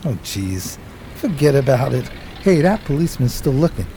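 Man acting a slangy, nervy, animated sidekick. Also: mid-range voice, normal speed, a little hiss or hum. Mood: urgent. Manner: slangy, urgent, hesitant starts. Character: streetwise, nervy, egging-on 1920s male sidekick. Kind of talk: radio drama acting, 1920s period piece.

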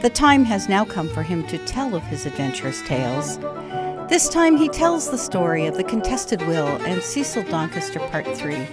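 A woman's voice: on the low side, very animated, moderate pace. A woman announcer reading a dramatic scripted introduction to a radio crime serial. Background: violin music.

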